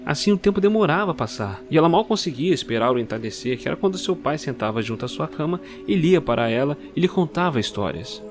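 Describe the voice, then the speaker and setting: A man narrating a dark Christmas fairy tale slowly and dramatically. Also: fairly high pitch, lively intonation. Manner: slow, dramatic storytelling. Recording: clear music bed under the voice.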